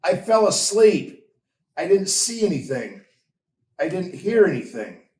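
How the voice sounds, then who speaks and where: A man's voice: high-pitched, very animated, measured pace, a little mellow, voice pushed up. A man voicing an upset young shepherd boy in a storybook, plaintive and emphatic. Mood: cross, plaintive. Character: upset young shepherd boy seeking his lost lamb. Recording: roomy echo, distant from mic.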